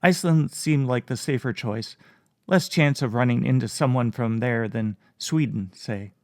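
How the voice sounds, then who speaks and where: Storybook elf woman, gentle and amused, read by a man, gravelly and dry. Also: mid-range voice, normal speed, dry recording, natural voice. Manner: soft, lightly amused. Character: gentle female elf, young-looking, wry and kind.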